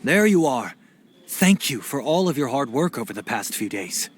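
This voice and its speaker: A man playing a composed, courteous employer in a video game, speaking in a measured way. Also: medium pitch, very animated, a little hiss or hum, close to an everyday voice. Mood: composed, approving. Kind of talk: video game cutscene acting.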